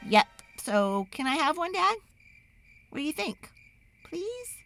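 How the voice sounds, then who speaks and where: A woman voicing an eager, pleading young child in an excitable, dramatic storybook read-aloud. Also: high-pitched, soft background music, pitched above the performer's own voice, heavily acted. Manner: wheedling, excitable, dramatic, drawn-out emphasis.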